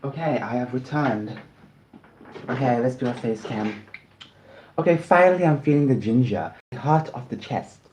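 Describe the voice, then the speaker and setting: A man's voice: mid-range voice, moderate pace, noisy background. A man from South Africa chatting casually on a livestream while eating, rambling and often apologizing.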